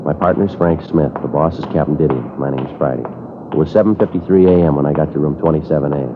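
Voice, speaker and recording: Flat, clipped police detective, very deep voice, brisk pace, phone-thin old radio sound. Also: male voice. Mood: matter-of-fact. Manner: clipped, flat, matter-of-fact delivery. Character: terse male police detective sergeant, all business. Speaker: detective narrator and sponsor spokesman. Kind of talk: 1950s radio crime drama acting. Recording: tinny phone-like old radio, faint hiss.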